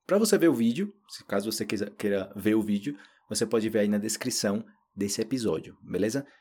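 A young man with a Brazilian Portuguese accent, hosting a language-learning podcast in a relaxed, chatty way full of little fillers. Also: medium pitch, breathy edge. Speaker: podcast host and language teacher. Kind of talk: casual spoken podcast intro.

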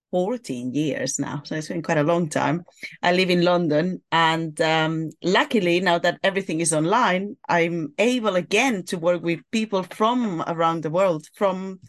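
A woman from Spain with a Spanish accent, talking casually as a guest about teaching Latin and Greek, thoughtful and lively with fillers. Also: on the low side. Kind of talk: casual interview talk.

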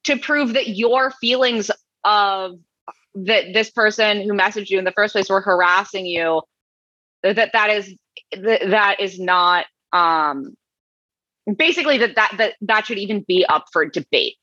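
A woman co-hosting a podcast speaks very slowly and haltingly, pausing to find her words. Her voice is bright and forceful. The recording is dry and a little dull. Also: medium pitch, no room sound. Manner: slow, halting, searching for words. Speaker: podcast co-host. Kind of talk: casual chat. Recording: dry, slightly dull mic sound.

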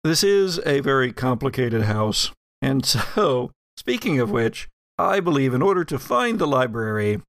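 Male game master for tabletop audio, mid-pitched, playful, halting storyteller, very dry recording. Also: very animated, fairly bright tone, no echo. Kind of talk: narrating an actual-play tabletop game.

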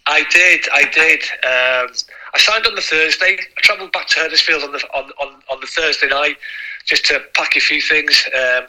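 A middle-aged Welsh man whose first language is Welsh, a former footballer telling stories in a relaxed chat, often repeating and restarting his sentences. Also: on the high side, normal speed, poor audio. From North Wales. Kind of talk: casual reminiscing chat.

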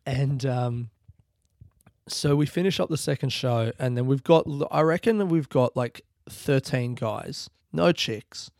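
A man's voice: medium pitch, unhurried, gravelly, a little mellow, dry recording, studio quality. A man, a comedian and podcast host telling a long rambling story with loose, profane phrasing and laughter.

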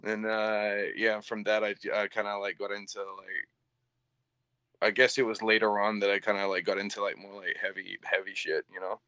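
Young man, mixed English-Canadian accent, lowish voice, bright and crisp, halting with lots of fillers, dry slightly dull lo-fi audio. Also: level tone, dry recording. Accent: mix of English and Canadian. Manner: halting, heavy use of "like", swears casually. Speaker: band vocalist, guest. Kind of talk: casual interview chat.

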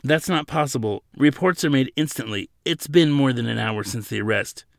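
A man's voice: mid-range voice, expressive, moderate pace, creaky, no echo, studio quality. A man voicing a stern female android who poses as legal counsel, speaking in an authoritative, insistent way for an audiobook. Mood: stern, confident.

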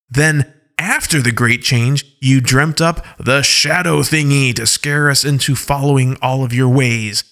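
A man's voice: mid-range voice, fairly bright tone, voice pushed up. A man voicing an angry, sarcastic wolf-pack leader who mocks and scolds. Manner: mocking, indignant outbursts.

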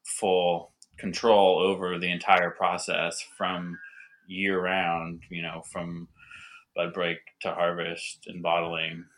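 Guest, male, stutters; deep and bright, halting delivery. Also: monotone. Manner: hesitant, with restarts and repeated words. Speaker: winemaker guest. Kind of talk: casual podcast interview.

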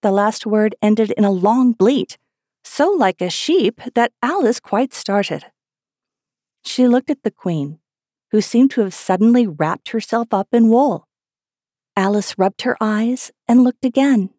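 A woman reads a storybook aloud in a fairly high voice. The sound is dry and close, with the measured phrasing of narration. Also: dark tone, dry recording. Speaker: audiobook reader.

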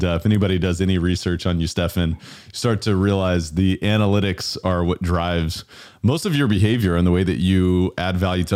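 A man's voice: low-pitched, darkish tone. A man hosting an interview, asking long, rambling questions with enthusiasm. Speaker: podcast host.

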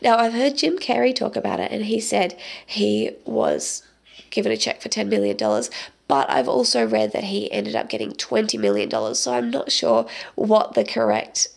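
A young woman hosting her own podcast, chatting in a rambling way with many ums, her voice croaky from the tail end of a cold. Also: mid-range voice, lively intonation, normal speed. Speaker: podcast host. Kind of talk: casual solo podcast monologue.

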